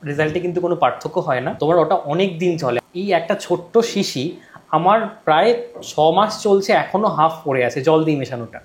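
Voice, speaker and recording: A man hosting a motorbike gear vlog, chatting casually and asking quick follow-up questions. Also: a little high, lively intonation. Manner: rapid, chatty, asks short follow-up questions. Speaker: vlogger host.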